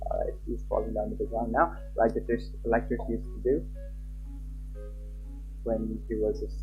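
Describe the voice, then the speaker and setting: A man live-streaming a game, reading aloud and chatting, halting at times and stumbling over his words. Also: fairly low pitch, level tone, narrow, tinny audio. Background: game music.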